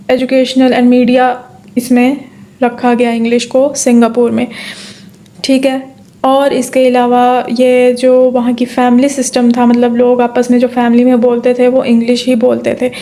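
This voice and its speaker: A woman tutor speaking in a rather high but very flat voice with a soft, dark tone. She explains step by step, repeats key points, and often asks herself a question before answering it. Her delivery stays even and unhurried, with frequent fillers and check-ins. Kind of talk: exam-prep lecture in Urdu-English mix.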